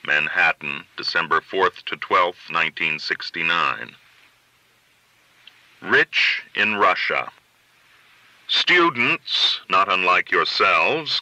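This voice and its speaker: Male audiobook narrator, slightly low, very bright and forceful, deliberate theatrical phrasing. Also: no room sound, low-quality recording. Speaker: audiobook reader.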